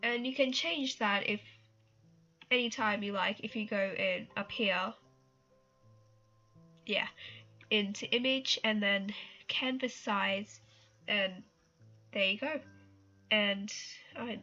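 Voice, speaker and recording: A woman's voice: medium pitch, takes it slowly, long pauses, sharp tone, highs cut off, faint music behind. A young woman with a cold, rambling casually through an art tutorial and apologizing often. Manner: rambling, self-conscious, laughs and apologizes often. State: has a cold. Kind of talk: casual screen-recorded tutorial.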